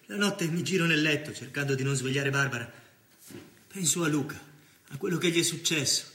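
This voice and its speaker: An actor playing a frightened, driven journalist in love, speaking anxiously and urgently in a stage play. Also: male voice, medium pitch, fairly bright tone, natural voice. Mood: anxious, confiding. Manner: anxious, urgent, confiding narration. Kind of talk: stage play monologue and dialogue. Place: live theatre stage. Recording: roomy theatre echo, faint noise.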